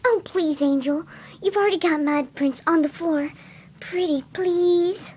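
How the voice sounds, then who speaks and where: A woman voicing a timid, kind-hearted cartoon pegasus pony who speaks in a soft, gentle, coaxing way. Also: very high voice, measured pace. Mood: pleading.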